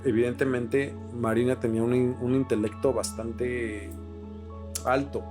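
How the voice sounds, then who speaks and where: A man's voice: medium pitch, fairly even intonation, measured pace. Slangy Mexican man chatting over music. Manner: slangy, sweary. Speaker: podcast host. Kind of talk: casual explanatory chat. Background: music with guitar.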